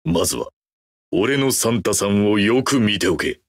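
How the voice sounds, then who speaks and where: A man's voice: lowish voice, animated, normal speed, no room sound, studio quality. A man voicing a stern, proud horned demon trainer in a mobile game, giving clipped, imperious, grandiose commands. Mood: grand, gloating. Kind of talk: anime game character voice acting.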